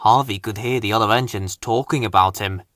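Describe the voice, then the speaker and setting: A man's voice: on the low side, brisk, a bit bright, dry recording, clean studio sound. A man reading a children's story aloud as a scripted narration. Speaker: storybook reader.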